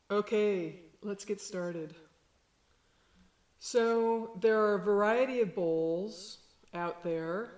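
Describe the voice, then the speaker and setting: A woman with a low voice, a sound healer giving a gentle, unhurried lesson. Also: echoey room. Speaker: shamanic sound healer teaching. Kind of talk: slow calm instructional talk.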